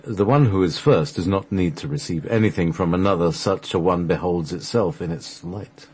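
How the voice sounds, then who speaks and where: Male radio host for a solemn scripted reading: deep, husky voice, measured delivery of mystical prose. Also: darkish tone.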